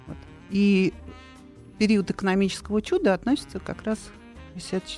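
A woman's voice: mid-range voice, brisk, warm tone, faint music behind. A middle-aged woman, a guest on a radio talk show, explaining at length with many hesitations. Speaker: guest economist.

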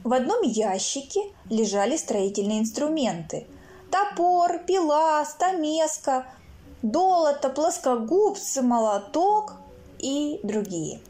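A woman reading her own children's stories aloud in an expressive, theatrical way, acting out sounds and characters. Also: high voice, slow pace. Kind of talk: expressive children's storybook narration. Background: music.

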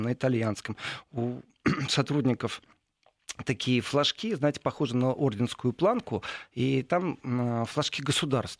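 A man answering interview questions in a relaxed, digressive way, with many fillers and asides. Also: mid-range voice, creaky, no echo, studio quality. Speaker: guest describing parliamentary assembly.

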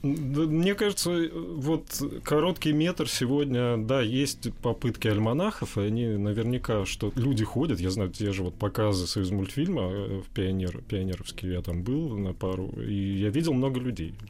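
A man speaking informally as an interview guest, searching for words in a halting, slangy, colloquial way. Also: mid-range voice, a little mellow. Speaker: interview guest, animation artist.

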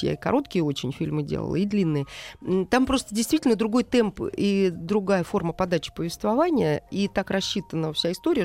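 A woman speaking as a film director in an interview, explaining things in an animated way with everyday examples. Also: on the low side.

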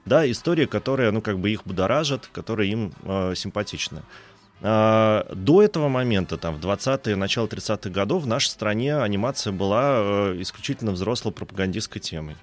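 A man speaking as an expert guest in an informal radio interview, haltingly, repeating words and hedging as he thinks aloud. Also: fairly low pitch, animated. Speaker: guest film critic and animation historian.

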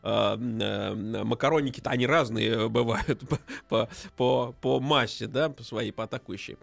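A man's voice: mid-range voice, moderate pace, gravelly, dry recording. A man hosting a live radio talk show, chatting playfully with a guest and hesitating with fillers. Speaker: radio host.

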